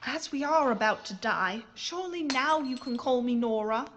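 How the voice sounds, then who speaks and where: A woman's voice: sits high, lively intonation, normal speed, background noise. An actress playing a spirited aristocratic lady in a wartime audio drama, with a proper, dramatic delivery. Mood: defiant.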